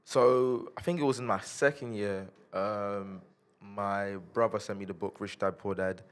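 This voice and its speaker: A young man, chatting casually as a podcast guest in a relaxed, laid-back way. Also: on the low side, unhurried, smooth. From South East London, England. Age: 20s. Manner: relaxed, laid-back, lots of "yeah, yeah" fillers. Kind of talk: casual interview chat.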